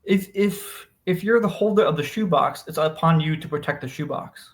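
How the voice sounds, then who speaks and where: A man with a somewhat high-pitched voice co-hosts a casual book discussion. He is conversational and opinionated, putting questions on the table and debating the answers. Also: moderate pace. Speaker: podcast co-host discussing a book.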